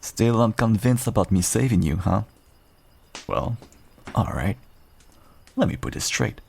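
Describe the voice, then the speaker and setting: Guy doing a husky deep half-wolf adventurer, dry and gruff, fantasy audio drama, slow careful talk. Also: lively intonation, a little mellow, heavily acted. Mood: earnest, reflective. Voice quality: low, gravelly, husky. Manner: unhurried, dry, understated, self-deprecating. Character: gruff, guarded half-wolf rogue man, quietly kind rescuer. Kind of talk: intimate fantasy roleplay audio acting.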